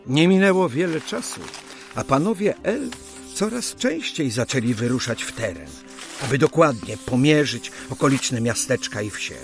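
A man narrating a scripted radio play as a storyteller, with measured, deliberate phrasing and pauses. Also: medium pitch, gravelly. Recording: music under the narration. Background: music.

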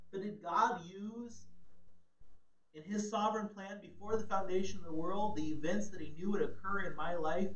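Male pastor, high voice, emphatic sermon delivery, distant mic in an echoey room. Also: fairly bright tone, lo-fi sound. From Ghana. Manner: emphatic, rises sharply on key points.

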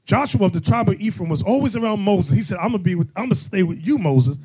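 A man's voice: high-pitched, lively intonation, fast, darkish tone, breathy edge. A man teaching a congregation as bishop, preaching with call-and-response questions and sudden emphatic bursts. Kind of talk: sermon-style teaching.

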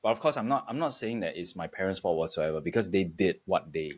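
A man with a fairly low voice talks reflectively as a podcast guest. He moves forward in short, halting phrases, pausing as he puts his thoughts together. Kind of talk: reflective interview talk.

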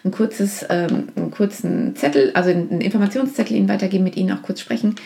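A woman's voice: lowish voice, fast talker, vocal fry, warm tone. A woman being interviewed on a podcast about her outreach work, speaking earnestly with many fillers and restarts. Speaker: guest from a support organization.

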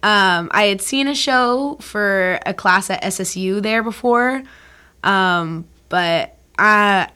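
A woman's voice: mid-range voice, slow pace, bright, forceful tone, smooth. A woman in her twenties chatting casually as a guest in a studio interview, with informal, youthful phrasing. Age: 20s. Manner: informal, peppered with "like". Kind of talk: casual chat, storytelling. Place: radio studio.

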